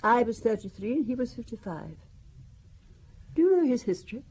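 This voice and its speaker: A woman's voice: a little high, sing-song, fairly quick, dark tone, a little hiss or hum. An older woman playing a genteel, chatty French widow in an audio drama, confiding and wistful as she reminisces. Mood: reminiscing. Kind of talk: audio drama acting, conversational storytelling.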